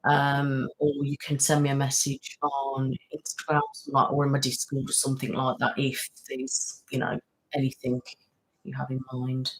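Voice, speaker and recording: A woman's voice: a bass voice, completely flat delivery, moderate pace, rough recording. A woman hosting a casual live-stream chat, rambling, laughing often and thanking viewers by name. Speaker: ASMR channel host.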